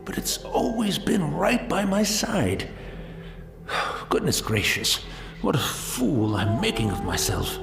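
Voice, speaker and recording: A man's voice: a little high, sing-song, takes it slowly, creaky, heavily acted. A man playing a befuddled, humble old servant ghost in a video game, fussy, flowery and deferential. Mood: flustered, rambling. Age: older. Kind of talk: video game character acting. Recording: echoing room with loud music behind.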